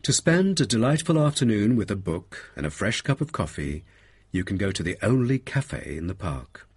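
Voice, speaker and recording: A man reading a scripted guided presentation as a helpful guide with a husky voice. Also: on the low side, very animated, moderate pace. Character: helpful male guide presenting a park's facilities. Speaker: voice actor reading listening test parts. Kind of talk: scripted narration.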